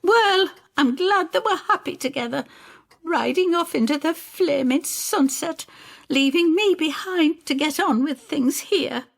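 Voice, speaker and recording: Audio story role: actress playing anxious older woman, high-pitched, swooping, demanding and bitter. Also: lively intonation, measured pace, vocal fry, exaggerated voice. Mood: resigned, tart. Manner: plaintive, demanding. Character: Effie, anxious, bitter woman neighbour nearly seventy.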